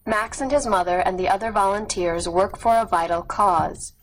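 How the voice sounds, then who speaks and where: A woman reading scripted nonfiction as an audiobook narrator, measured and expressive. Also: mid-range voice, poor audio.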